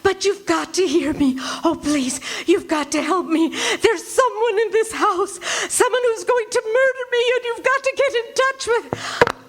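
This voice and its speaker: A woman's voice: very high voice, animated, a little slow. An actress playing a frantic, demanding invalid woman alone at night in a live radio play, fretful and breathless. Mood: panicked. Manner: fretful, breathless, rising into panic.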